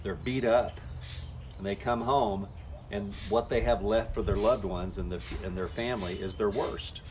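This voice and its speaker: A man's voice: lowish voice, steady tone, normal speed, noisy background. A man coaching network marketers in a folksy, talk-to-camera style, acting out the conversations he has with people. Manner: folksy, acts out quoted conversations.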